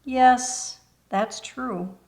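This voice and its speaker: A woman's voice: a little high, extremely slow, a little mellow, voice pushed up. A woman voicing a shy, polite, self-doubting young girl in a children's storybook, hesitant and deferential. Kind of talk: children's storybook read-aloud.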